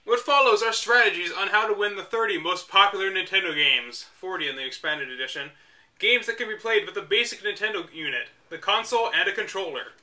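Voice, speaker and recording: Young man, high bright voice, sarcastic reading, dry wisecracks. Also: animated, brisk. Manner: mocking, dry asides between read passages. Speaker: host reading a book aloud. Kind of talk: reading aloud with sarcastic commentary.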